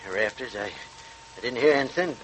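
An actor playing a frightened farmer, hesitant and stammering, in a 1940s radio crime drama. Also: male voice, mid-range voice, expressive, moderate pace, fairly bright tone, natural voice. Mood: nervous, evasive. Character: frightened, stammering male farmer held at gunpoint. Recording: noisy, crackly 1940s radio recording.

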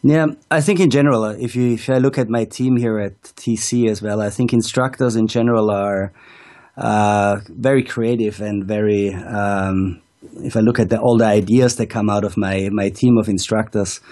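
A man with a low, mellow voice calls in to an interview over an online link. He tells his story steadily and methodically, with frequent "um"s between clauses. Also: fairly even intonation, normal speed. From Austria. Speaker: ski school director, guest. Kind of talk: casual interview answers. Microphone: calling in over an online video call.

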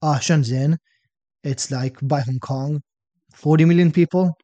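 A young man from Israel with an Israeli accent, chatting as a podcast guest and telling stories in a relaxed way, often ending points with "right?". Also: on the high side, a little slow, dry recording, dull audio. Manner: relaxed storytelling, tags statements with "right?". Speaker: podcast guest, fitness coach. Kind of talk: casual interview chat.

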